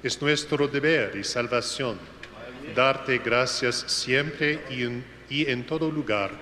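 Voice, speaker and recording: Slow solemn priest in echoing church. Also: male voice, medium pitch, pushed and bright. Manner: slow, solemn liturgical recitation. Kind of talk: reciting the Mass liturgy. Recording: echoey church with noise.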